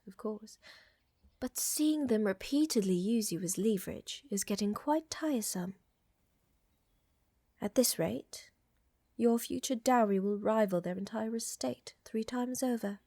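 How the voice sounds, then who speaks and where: A woman playing a flirtatious, doting aristocratic vampire countess in an intimate ASMR audio roleplay, with a soft, breathy murmur and elegant, teasing, soothing phrasing. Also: on the high side, dry recording. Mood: wry, weary.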